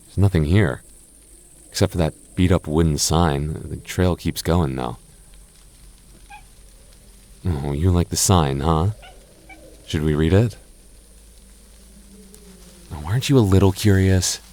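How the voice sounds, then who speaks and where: A young man in a close-mic boyfriend roleplay, talking softly with halting restarts and light jokes. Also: rumbling low. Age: young adult. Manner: soft-spoken, halting, jokey and reassuring; slow measured reading of a rhyme.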